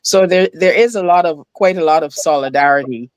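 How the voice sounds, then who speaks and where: A woman's voice: lowish voice, fairly quick, darkish tone, no echo, dull audio. A middle-aged woman from Barbados, giving thoughtful, slightly hesitant conversational answers on a video call. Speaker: diaspora guest. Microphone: video call, device close in front.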